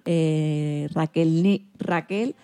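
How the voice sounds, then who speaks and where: Spanish woman presenting, mellow lowish voice. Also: fairly even intonation, extremely slow, clean tone, no echo. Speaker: host. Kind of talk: scripted programme presentation.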